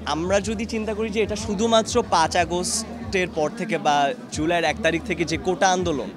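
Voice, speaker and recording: A man's voice: high voice, brisk, over music. A man, speaking in an unscripted interview. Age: 20s. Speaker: interviewee, exhibition visitor. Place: busy indoor gallery. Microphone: handheld reporter microphone. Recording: busy crowd noise around voice.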